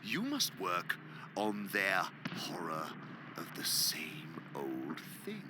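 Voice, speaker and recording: An actor playing an imperious senior demon in a radio play, lecturing pompously and theatrically with precise diction and hushed, emphatic drops. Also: male voice, deep voice, wildly swooping pitch, slow pace, heavy background noise, full character voice. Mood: scheming, emphatic. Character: senior male demon, imperious scholarly uncle, exacting mentor. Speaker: senior demon lecturing his subordinate nephew.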